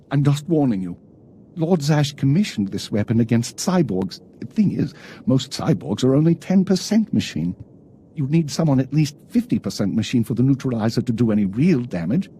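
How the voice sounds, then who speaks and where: An actor playing a nervous, cowardly inventor in a video game, anxious and pleading. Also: male voice, medium pitch, big pitch swings, moderate pace, warm tone, a little hiss or hum, natural voice. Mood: relieved, wary.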